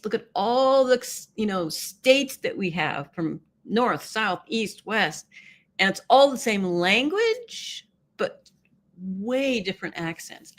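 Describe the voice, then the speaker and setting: A woman's voice: fairly high pitch, a bit bright. Woman hosting a live stream, chatty and laughy, voice swoops up and down a lot. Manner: chatty, laughs often, muses aloud. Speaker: livestream host. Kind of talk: casual chat, reading viewer comments aloud.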